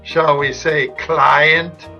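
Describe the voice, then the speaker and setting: Man playing a sharp-voiced pompous scientist. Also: fairly high pitch, flat delivery, vocal fry, lo-fi sound, faint music behind, exaggerated voice. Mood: pedantic, marveling. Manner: pedantic, condescending, deliberate. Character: pompous, genteel male scientist, fussy caterpillar expert. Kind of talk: old-time radio comedy acting.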